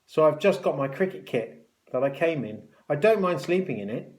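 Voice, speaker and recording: A man's voice: on the high side, animated, moderate pace, darkish tone, lightly acted. A man voicing a timid, polite boarding-school boy with a hesitant manner in a children's story. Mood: meek, polite. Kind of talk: children's story read aloud.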